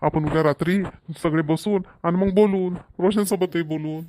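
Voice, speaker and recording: Man acting high-pitched, even-toned village father. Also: normal speed, no room sound, natural voice. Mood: placating. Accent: Vidarbha Marathi. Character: placating middle-aged village father and husband. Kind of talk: amateur cartoon voice acting.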